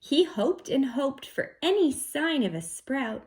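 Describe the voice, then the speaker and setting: Animated woman reading a picture book to children. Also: high-pitched. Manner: animated, coaxing, pausing to comment and wonder. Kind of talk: expressive read-aloud for children with chatty asides.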